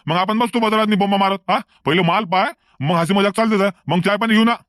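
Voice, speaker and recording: Blunt, impatient male cartoon bazaar buyer played by a man, high-pitched, folksy and nagging, recorded dry. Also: quick pace, a bit bright, dry recording. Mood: impatient scolding. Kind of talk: comedic cartoon dialogue in colloquial rural Marathi.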